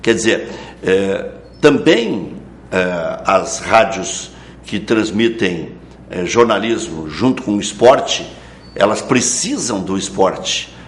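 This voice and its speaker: A man in a reflective interview about radio, speaking in a measured way with frequent asides. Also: sits low, lively intonation, echoey room, a little hiss or hum.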